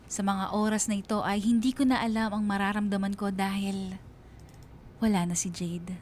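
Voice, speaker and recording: A woman's voice: medium pitch, steady tone, normal speed, a little mellow, slight background noise, close to an everyday voice. A woman playing a reflective, bereaved young woman narrating her own love story in a radio drama. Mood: sad, reflective. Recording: music behind the voice.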